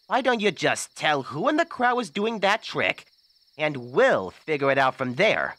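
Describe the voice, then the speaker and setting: Forceful, swooping fanatic gunman, acted by a man. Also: on the high side, very animated, a little slow. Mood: coaxing menace. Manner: forceful, threatening. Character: hot-headed fanatical witch-hunting gunman. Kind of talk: audio drama acting.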